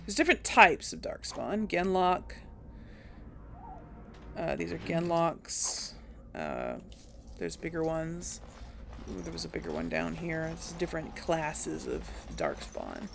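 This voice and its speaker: Creaky-voiced woman chatting through a game. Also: deep voice, wildly swooping pitch, slow, noisy background. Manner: chatty, laughs often, thinks aloud. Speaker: gaming channel host. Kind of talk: casual gameplay commentary.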